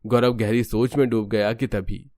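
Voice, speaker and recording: A man's voice: on the low side, fairly even intonation, moderate pace, a little mellow, no echo. A man reading scripted narration for a cartoon family story.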